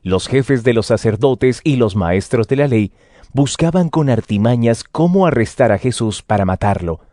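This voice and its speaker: A man giving formal, measured narration of scripture. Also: mid-range voice, animated, mellow, dry recording, studio quality.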